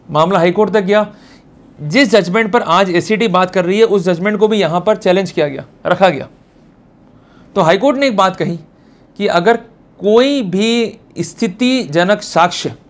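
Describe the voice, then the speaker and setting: High-pitched Indian man giving a law lecture. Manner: reads English quotations slowly and deliberately, then explains informally. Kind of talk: explanatory lecture mixing Hindi with English legal terms.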